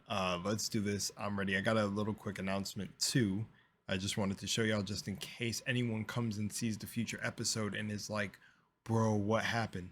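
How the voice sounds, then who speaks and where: Young man, slight lisp, low voice, rambling reaction chatter, fillers, loud then mumbling. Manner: rambling, filler-heavy, drifting between loud bursts and mumbles. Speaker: reaction video host. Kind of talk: casual rambling reaction commentary.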